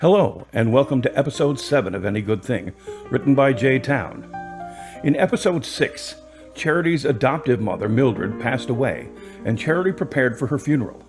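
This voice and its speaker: Male narrator, scripted radio serial delivery, mid-pitched, music underneath. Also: lively intonation, normal speed.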